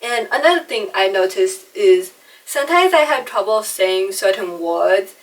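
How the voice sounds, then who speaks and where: A woman's voice: mid-range voice, expressive, a little slow, distant mic, low-quality recording. A young woman who was adopted from China and raised in America, talking in a chatty, self-deprecating way about her speech. She speaks with a slight lisp and some trouble with R sounds. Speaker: vlogger. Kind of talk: personal storytelling vlog.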